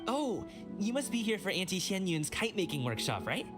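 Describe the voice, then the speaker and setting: An eager, friendly young man from an anime game. He talks casually and modestly in a very animated voice, with music clearly behind him. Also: sits high, brisk. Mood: friendly, sheepish. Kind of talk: anime video game voice acting.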